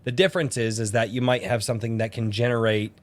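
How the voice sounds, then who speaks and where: A man's voice: medium pitch, level tone, fast. A man hosting a casual group podcast, speaking bluntly with the odd swear word. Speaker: podcast host.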